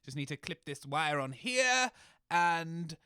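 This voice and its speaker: A man's voice: a little high, very animated, slow, pushed and bright, smooth, dry recording, studio quality, full character voice. A man with a British accent playing a grumpy, exasperated radio engineer in a comedy audio drama, indignant and complaining. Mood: eager, cocky. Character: grumpy, exasperated male radio engineer sidekick named Fog.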